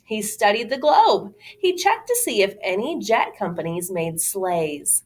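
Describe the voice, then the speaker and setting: A woman reads a picture book aloud for children. Her delivery is dramatic and very lively in pitch, moving at an unhurried pace with clear expressive emphasis. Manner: dramatic, exclaiming. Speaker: teacher reading aloud.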